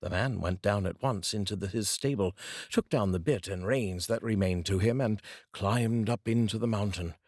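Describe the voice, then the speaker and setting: Low, husky male storyteller. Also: normal speed, a little mellow, dry recording, studio quality. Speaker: storyteller, narrator. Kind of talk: expressive read-aloud folk tale narration.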